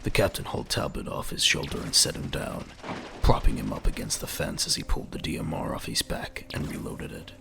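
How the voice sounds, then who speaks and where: Male audio drama narrator; low pitch, animated intonation, descriptive scripted storytelling. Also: fairly quick, gravelly, background noise.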